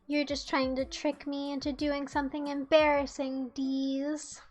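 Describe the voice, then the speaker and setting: A woman's voice: high voice, flat delivery, unhurried, soft background music. A young woman from the United States streaming live, chatting casually and teasing her chat in a playful way.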